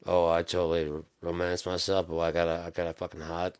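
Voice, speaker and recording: A man with a deep, bassy voice rambling loosely to his channel's viewers, with lots of restarts and swearing. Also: monotone, fast talker, darkish tone, clear, resonant tone, dry recording. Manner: loose, profane, meandering with many restarts. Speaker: channel host. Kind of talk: rambling casual vlog.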